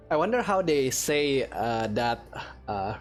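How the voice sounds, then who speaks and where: Male gaming host: casual, hesitant, thinking aloud, mid-pitched voice over light music. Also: very animated, slow pace. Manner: rambling, hesitant, musing. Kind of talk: casual let's play commentary. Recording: music behind the voice.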